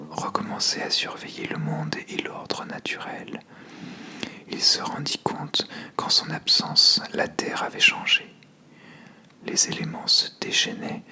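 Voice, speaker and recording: A storyteller narrating a fable in a hushed, measured ASMR whisper. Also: medium pitch.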